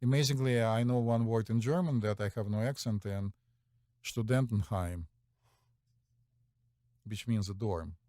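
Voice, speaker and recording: A man's voice: on the low side, unhurried, pauses often, dark tone, dry recording, clean studio sound. A man with a Russian accent hosting a casual chat, rambling and hesitant with many fillers. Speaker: podcast host.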